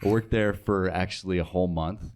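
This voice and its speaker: A man's voice: deep voice, fairly even intonation, brisk, darkish tone, clean tone. A young American man talking casually as a guest in an interview. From United States, North Dakota. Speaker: interview guest, radio sales associate.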